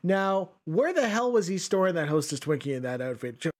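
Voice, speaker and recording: A man's voice: high-pitched, sing-song, a little fast. A man hosting a casual comic book podcast, joking and reading panels aloud. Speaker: podcast host.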